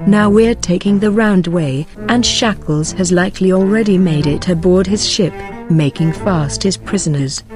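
A woman's voice: on the low side, normal speed, few pauses, darkish tone, lightly acted. A woman voicing a stern, resolute female warrior guardian in a motion comic, with a solemn, commanding manner. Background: background music.

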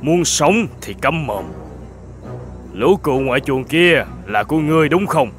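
An actor playing a threatening, greedy bandit in an animated folk tale, giving orders in a commanding manner. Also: male voice, on the high side, unhurried, faint music behind. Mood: menacing. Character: menacing, domineering male bandit, greedy and hungry.

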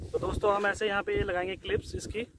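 A man in his thirties from India, a camping vlogger who chats casually while showing each step of pitching a tent on an open hillside. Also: level tone, fast, over music. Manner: chatty, repeats "so doston", shows each step. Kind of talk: casual how-to vlog. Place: open hillside meadow outdoors.

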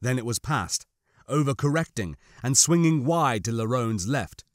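A man's voice: mid-range voice, lively intonation, moderate pace, dry recording. Man narrating a dramatic audiobook, dry sound.

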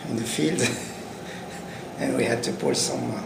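A man's voice: mid-range voice, huge pitch swings, takes it slowly, creaky. A man in his fifties from Morocco, answering interview questions about his career in a hesitant way, with many fillers and restarts. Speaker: agricultural researcher. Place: indoor room with curtain backdrop. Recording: roomy sound with air-conditioning hum.

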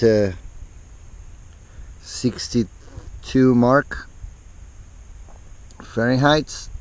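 Middle-aged man, laid-back vlog narration, slow and easy delivery, outdoor action-cam sound. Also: mid-range voice. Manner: relaxed, unhurried running commentary. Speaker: vlogger. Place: outdoors in open desert.

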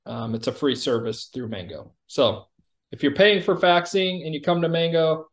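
A man's voice: a little high, moderate pace. Man explaining on a video call. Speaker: company chief executive giving a product demo. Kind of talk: informal product demo on a video call. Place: indoor room on a video call. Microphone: video call microphone.

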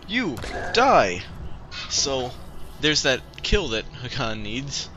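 A man's voice: mid-range voice, sing-song, takes it slowly, bright, forceful tone, slight background noise. A young man hosting a casual let's-play video, wry and barking playful orders at the characters, with chiptune music and a shower going in the background. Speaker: gaming video host. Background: chiptune music playing and a shower running.

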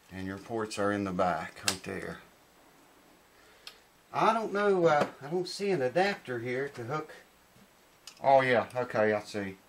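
A man's voice: mid-range voice, a bit bright, slight background noise. Middle-aged man thinking aloud, informal. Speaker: product reviewer. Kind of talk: casual hands-on review to camera. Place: small cluttered indoor workshop room.

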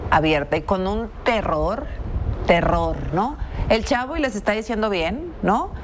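A woman's voice: mid-range voice, lively intonation, unhurried, a little hiss or hum. A middle-aged woman with a Mexican accent, commenting on the news as an indignant, emphatic TV anchor. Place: TV studio at a news desk. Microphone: lapel mic.

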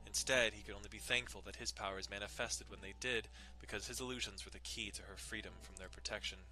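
A man's voice: on the low side, fairly even intonation, fast talker, pushed and bright, soft background music, rough recording. A man giving a dramatic reading of a visual novel as its narrator. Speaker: dramatic reader.

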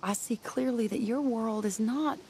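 An actress playing a genteel, wistful American woman in love, measured and refined, in a Western video game. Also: a little high, warm tone, slight background noise, natural voice. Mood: sorrowful, resigned. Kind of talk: cinematic game dialogue and letter reading.

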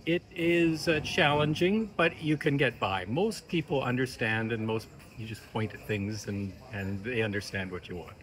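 A man's voice: mid-range voice, normal speed, few pauses, a bit bright. A man in his sixties from Canada, answering street-interview questions in an easygoing, hesitant way with many fillers. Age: 60s. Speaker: interviewed pilgrim. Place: outdoors by a shopfront awning. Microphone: lapel mic.

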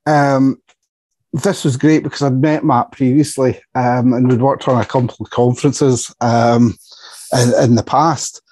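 A man presenting on a video call, steady and explanatory, with some fillers and restarts. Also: medium pitch, measured pace, no echo. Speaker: specialist pharmacist. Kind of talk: panel presentation.

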